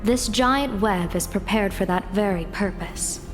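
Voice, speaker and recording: A woman voicing a composed, knowing strategist and seer in a video game, speaking in a solemn, measured manner. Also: mid-range voice, lightly acted. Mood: solemn, assured. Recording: long hall reverb over loud music.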